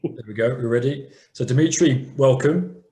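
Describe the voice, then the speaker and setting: A man's voice: mid-range voice, normal speed, dark tone, echoey room. A man hosting a virtual wine tasting on a video call, introducing the guest. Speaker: host.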